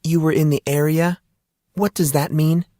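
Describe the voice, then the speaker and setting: Audiobook role: man playing sweet, playful woman, raised pitch, composed, faintly indignant, close dry sound. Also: fairly even intonation, moderate pace, mellow, no room sound, close to an everyday voice. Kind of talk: audiobook character dialogue.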